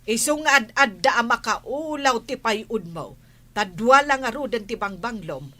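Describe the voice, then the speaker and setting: A woman's voice: on the high side, expressive, unhurried, bright, forceful tone, full character voice. A woman with an Ilocano accent acting a scolding village woman in a radio comedy drama. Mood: nagging. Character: scolding, nagging village woman, the captain's household.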